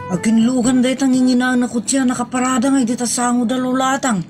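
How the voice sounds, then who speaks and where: A woman's voice: high voice, flat delivery, fairly quick, music in the background, natural voice. An Ilocano-accented woman playing a nosy, gossiping village neighbor in a comedy audio drama. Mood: curious, nosy.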